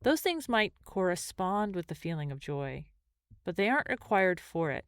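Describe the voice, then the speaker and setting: A woman guides a meditation in a slightly low, clear and smooth voice. She speaks gently, leaving space between measured phrases. The sound is dry, with no room around it. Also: very animated, no echo. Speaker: mindfulness and meditation teacher.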